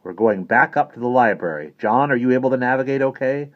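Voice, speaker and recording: An emphatic, learned priest from an adventure storybook, put on by a male narrator. He speaks solemnly and exclaims with authority, pitched up from the reader's own voice, on a dull recording. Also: animated, fairly quick, close to an everyday voice. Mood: solemn, earnest.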